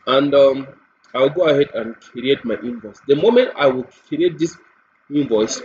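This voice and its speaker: A man with a Nigerian accent gives an unscripted, step-by-step spoken walkthrough as a software demonstrator. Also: medium pitch, expressive, normal speed. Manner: step-by-step explaining. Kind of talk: screen-recorded product walkthrough, unscripted. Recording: dull lo-fi mic with faint noise.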